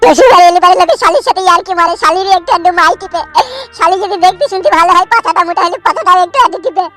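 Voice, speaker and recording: A man voicing a shameless young son-in-law in a cartoon, pleading and foul-mouthed, with a pitched-up voice. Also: rapid-fire, loud music behind the voice. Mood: aggrieved.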